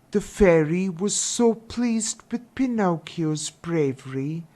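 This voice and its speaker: Slow, expressive woman storyteller. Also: fairly low pitch. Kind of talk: children's fairy tale narration.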